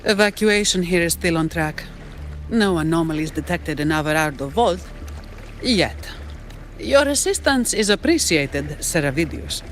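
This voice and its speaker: An actress playing an efficient, alert young security officer in a video game, reporting in a clipped, businesslike way. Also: female voice, fairly low pitch, animated, a little slow, faint music behind.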